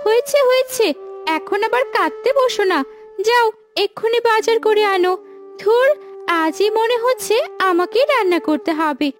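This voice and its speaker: Woman as snobbish young wife for cartoon moral tale: extremely high-pitched, nagging, greedy, scornful. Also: moderate pace. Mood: bossy, scornful. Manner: sharp, nagging, dismissive. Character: haughty, scolding young married daughter, greedy and scornful. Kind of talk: cartoon moral-story voice acting. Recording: music behind the voice.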